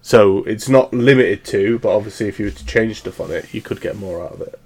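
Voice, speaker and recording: A man's voice: fairly low pitch, a little fast, a little mellow. Man, early thirties, casual co-host, rambling, lots of um and like. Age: 30s. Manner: rambling, many fillers, laughs at his own jokes. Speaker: podcast co-host. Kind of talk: casual chat.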